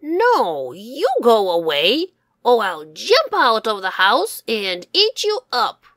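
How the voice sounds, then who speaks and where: A woman voicing a bossy, sly female fox in a sharp, commanding storybook manner. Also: on the high side, huge pitch swings, slow, no room sound, clean studio sound, exaggerated voice. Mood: threatening.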